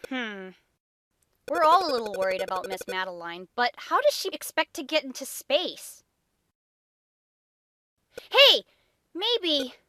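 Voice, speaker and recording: A woman's voice: high-pitched, very animated, a little slow, pauses often, sharp tone, no room sound, exaggerated voice. A woman playing a sensible, slightly exasperated schoolgirl mage in a game fan dub. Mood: concerned, wry.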